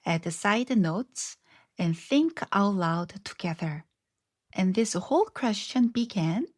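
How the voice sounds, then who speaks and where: Slow-talking woman podcast host, slightly scratchy. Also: medium pitch, no room sound, clean studio sound. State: slightly scratchy voice after strep throat. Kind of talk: scripted podcast narration.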